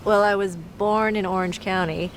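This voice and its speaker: An actor in a comedy sketch playing a patient man who calmly says he is from San Diego, matter-of-fact in his replies. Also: high-pitched, moderate pace, low-quality recording.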